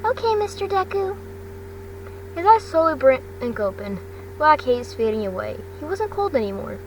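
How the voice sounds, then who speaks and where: A woman reads fiction aloud in a fairly high voice. Her delivery is halting, often stumbling and correcting herself. She sits a little away from the microphone, with faint noise behind her. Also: very animated, distant mic, low-quality recording. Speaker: fan fiction reader. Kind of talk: amateur audiobook-style story narration.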